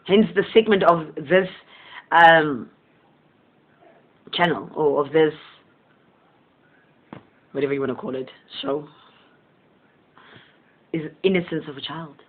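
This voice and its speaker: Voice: medium pitch, slow, pauses often. Host, tinny phone-like sound. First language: Southern Sesotho. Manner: rambling, chuckling often, gentle and earnest. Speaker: host of a motivational channel. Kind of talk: casual spiritual monologue.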